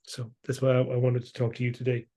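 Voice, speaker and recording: A man hosting a podcast interview, chatting casually and warmly with his guest, often restarting his sentences. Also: mid-range voice, completely flat delivery, fast talker. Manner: friendly, chatty, with frequent restarts. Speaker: podcast host.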